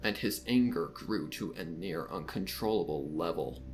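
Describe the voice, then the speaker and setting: A man reading a story aloud as dramatic scripted narration, with soft music behind him. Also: low voice, animated, moderate pace. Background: soft background music.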